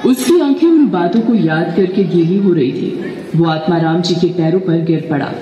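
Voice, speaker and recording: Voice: mid-range voice, expressive, moderate pace, warm tone, distant mic, muffled recording, some chatter behind. A narrator telling a dramatic scripted puppet show story, heard with long hall reverb.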